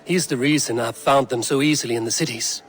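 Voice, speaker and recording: A man's voice: medium pitch, a bit bright, close to an everyday voice, low-quality recording. Game casting: male actor for a resolute Norse warrior chief, mid-range voice, grave delivery, music behind. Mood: businesslike. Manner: measured, grave, plain-spoken. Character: stoic Viking clan leader, male warrior, steady and resolute.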